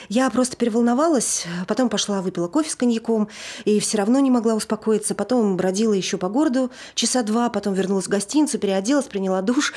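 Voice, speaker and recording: Actress as husky, tipsy, nervous married woman. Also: medium pitch, darkish tone, natural voice. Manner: rambling, self-justifying, awkward. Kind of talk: naturalistic stage acting, monologue.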